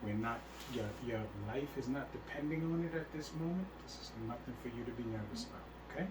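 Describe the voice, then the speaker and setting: A man's voice: mid-range voice, lively intonation, quick pace, reverberant. A man teaching a religious class, emphatic and repeatedly checking that his listeners understand. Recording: faint hiss, speaker far from mic.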